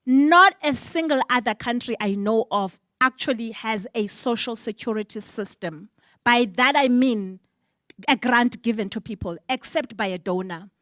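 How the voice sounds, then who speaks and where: A woman's voice: a little high, fairly bright tone, no room sound, clean studio sound. Passionate South African woman moderating. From Eastern Cape, South Africa. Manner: emphatic, passionate, rhetorical questions. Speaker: panel moderator. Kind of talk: conference panel moderation. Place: symposium panel on stage.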